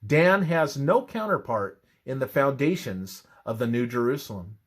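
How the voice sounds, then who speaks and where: A man reading a scripted religious narration in a measured, expressive manner. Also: mid-range voice.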